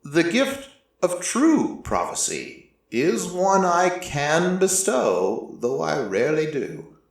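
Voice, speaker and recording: A man playing an ancient, merciless deity who narrates a story in a measured, solemn way with deliberate pauses. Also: fairly high pitch, wildly swooping pitch, creaky, exaggerated voice. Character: ancient merciless deity, male-voiced, reflective and quietly fond. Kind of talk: scripted first-person dramatic narration. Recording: echoey room, slightly distant from mic.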